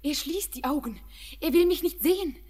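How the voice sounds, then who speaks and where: A woman's voice: high-pitched, moderate pace, a little mellow, lightly acted. An actress playing a frightened, passionate young woman in a radio drama, with intense, declamatory delivery. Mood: anxious. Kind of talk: classical German radio drama acting. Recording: long hall reverb, music behind.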